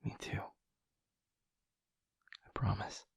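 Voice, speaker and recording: A man playing a gentle, devoted vampire lover in an intimate audio roleplay, speaking in a breathy, husky hush with slow, halting, tender pauses, close to the microphone. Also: dry recording, natural voice. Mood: tender, careful. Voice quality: breathy, husky, often whispering. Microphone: close microphone, dry.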